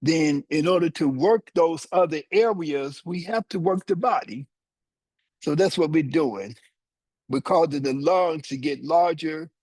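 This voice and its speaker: A man in his seventies leads a yoga class, speaking in a husky, slightly high voice. He unhurriedly talks students through their body, pausing often between short phrases. The sound is dry and close. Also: no echo. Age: 70s. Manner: slow, deliberate, explanatory, with many short pauses. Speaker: yoga teacher. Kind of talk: guided yoga instruction with teaching asides.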